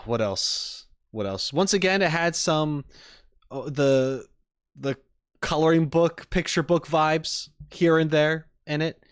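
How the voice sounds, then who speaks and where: A man giving casual livestream reaction commentary to game trailers, rambling and halting as he rephrases his thoughts. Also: a little high, clean tone, no room sound, studio quality.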